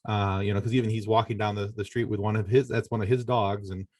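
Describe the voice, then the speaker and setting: A man's voice: on the low side, little pitch movement, fast talker, a little mellow, no room sound, clean studio sound. A man with an American accent chatting casually as a podcast guest, friendly and easygoing. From Michigan, United States. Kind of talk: casual interview chat.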